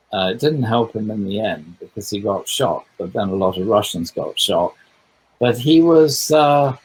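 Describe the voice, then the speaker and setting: A man with a fairly low, husky voice answers questions as a visiting historian. He talks in a thoughtful, digressive way and stops often to find his words. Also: low-quality recording. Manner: thoughtful, digressive, with frequent hesitations. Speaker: historian guest. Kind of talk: conversational book talk.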